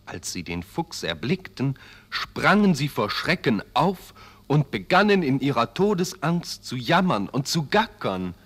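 A man's voice: medium pitch, big pitch swings, slightly rough. Man telling kids a fairy tale, takes his time, voice goes up and down a lot. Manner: deliberate, dramatic phrasing. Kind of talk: expressive scripted storytelling for children.

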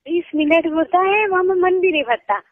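A woman's voice: extremely high pitch, animated, normal speed, no echo. A woman chatting casually on a phone call, answering in brief, plain replies. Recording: tinny phone-line call recording.

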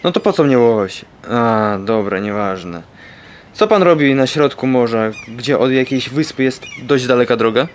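A man's voice: mid-range voice, lively intonation, moderate pace, a little hiss or hum, close to an everyday voice. A young man acting a wry, stubborn wandering sailor in an amateur radio play. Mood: irritated, sardonic. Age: young adult.

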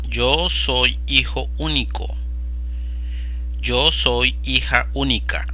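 A man's voice: medium pitch, fairly even intonation, pushed and bright. A man teaching Spanish, reading practice phrases aloud one at a time with careful pronunciation. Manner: slow, carefully enunciated phrase by phrase. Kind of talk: slow read-aloud vocabulary drill. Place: voice-over over slides.

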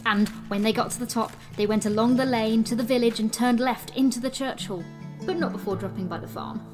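An actress as a jolly Christmas storyteller in an audio drama. Her fairly high voice relishes every rhythmic list, with loud music playing behind. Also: a little fast, lightly acted. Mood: jolly, relishing. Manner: relishing, rhythmic lists and repetition.

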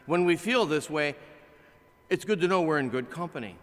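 An older man preaching a conversational sermon from a pulpit in a large chapel, swinging between loud, animated lines and hushed ones, picked up by a pulpit mic. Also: on the high side, normal speed. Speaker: pastor. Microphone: pulpit microphone, filmed by a far camera. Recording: long chapel reverb.

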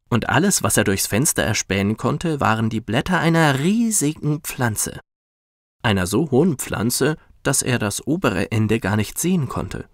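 A male narrator tells a children's bedtime story at an unhurried pace. His gentle delivery has plenty of melodic movement, and the sound is dry and close. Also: mid-range voice, dry recording. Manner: gentle, expressive. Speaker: professional narrator.

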